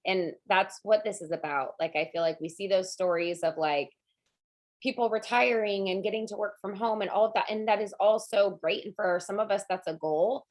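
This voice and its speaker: A woman with a raspy voice hosting a video call, chatting casually and laughing often. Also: medium pitch, normal speed. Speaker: host of a team video call. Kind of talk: casual chat.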